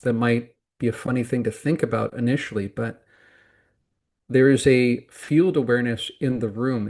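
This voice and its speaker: Male meditation guide, mid-range voice, calm and deliberate, giving instructions in short, spaced phrases. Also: medium pitch. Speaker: meditation teacher.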